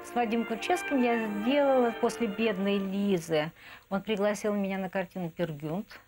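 Middle-aged woman reminiscing, mid-pitched. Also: moderate pace, darkish tone, soft background music. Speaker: animation artist recalling a colleague. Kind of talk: reminiscing interview talk. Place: indoor room, seated at a table.

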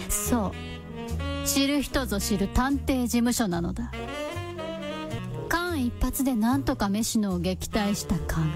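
A woman narrating a radio drama in a measured, scripted storytelling style. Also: mid-range voice.

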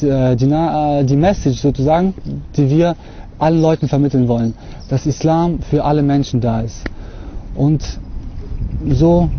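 A young man from Russia talking to camera outdoors on a lawn, earnest and hesitant, filling pauses often. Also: mid-range voice, highs cut off, slight background noise. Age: young adult. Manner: hesitant, many fillers, earnest. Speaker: convert giving a testimony. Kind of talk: unscripted talk to camera.

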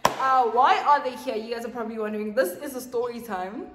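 Young woman, South African accent, high voice, echoey reverberant room, slangy vlog host chat. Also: brisk, heavy background noise. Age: 20s. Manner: animated, slangy. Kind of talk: casual chatty storytelling to camera. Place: small plain room indoors, seated with two others.

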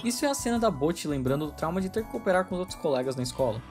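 A man narrating a scripted video essay in a brisk, opinionated, wry way, with music under the voice-over. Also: on the high side, very animated.

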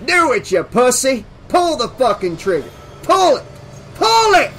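A man's voice: very high-pitched, wildly swooping pitch, unhurried, soft background music, pitched above the performer's own voice, full character voice. A man voicing a domineering, taunting small-town Texan sheriff in a dramatic audiobook reading. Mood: sneering, goading. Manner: taunting, loud, bullying.

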